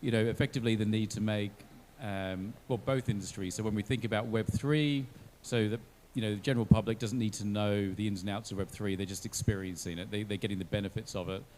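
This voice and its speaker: Man, low voice, panel guest, thinking aloud, many restarts, faint background hum. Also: fairly even intonation, fast talker, hardly any pauses, a little mellow. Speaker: panelist from a blockchain company. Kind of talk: online panel discussion.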